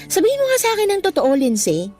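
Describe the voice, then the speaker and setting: A woman's voice: sits high, fast, soft background music. A young woman with a Filipino accent playing a nosy, caring, insistent office co-worker in a radio drama. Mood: probing, teasing. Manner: probing, expressive.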